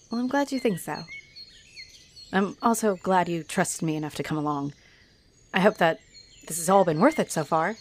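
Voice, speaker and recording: A woman playing a friendly, flirtatious halfling orc adventurer in a roleplay audio, speaking straight to the listener in a warm, playful, expressive way. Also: fairly low pitch, a little hiss or hum, close to an everyday voice.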